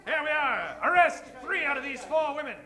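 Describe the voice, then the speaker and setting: A man playing a gallant, wisecracking detective in improvised old-time radio comedy, theatrical and animated. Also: extremely high pitch, normal speed, heavily acted. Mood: urgent, triumphant. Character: wisecracking, gallant male golf-pro detective hero.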